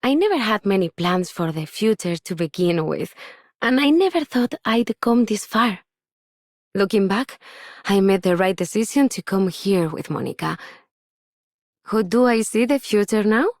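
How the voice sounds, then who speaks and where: A woman's voice: mid-range voice, animated, measured pace, dry recording. Candid, carefree young woman, close-miked. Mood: candid, relaxed. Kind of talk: scripted game character voice lines.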